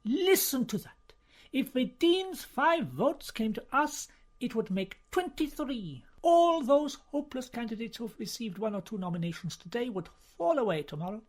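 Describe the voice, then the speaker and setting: A man's voice: extremely high pitch, lively intonation, moderate pace, voice pushed up. A man voicing a scheming cardinal, an eager campaigner who talks in an insistent, calculating manner.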